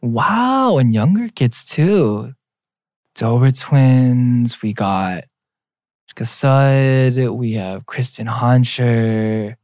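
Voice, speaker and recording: A man's voice: medium pitch, drawn-out words, darkish tone, dry recording, clean studio sound. A man in his late twenties, co-hosting a podcast in a playful, teasing, casual chat. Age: late 20s. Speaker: podcast co-host.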